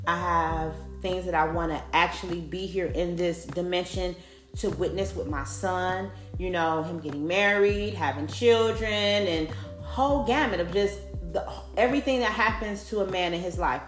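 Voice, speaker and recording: A woman's voice: fairly low pitch, normal speed. Woman, forties, podcast host: frank and profane, loose conversational delivery, roomy echo with faint music behind. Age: 40s. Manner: blunt, profane, conversational, says "like" a lot. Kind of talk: candid personal monologue.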